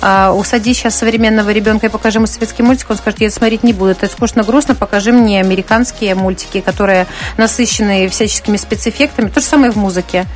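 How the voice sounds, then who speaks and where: A woman's voice: medium pitch, steady tone, very fast, hardly any pauses, soft background music. A woman speaking as an interview guest, giving opinions conversationally and often searching for words. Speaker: interview guest, classical musician.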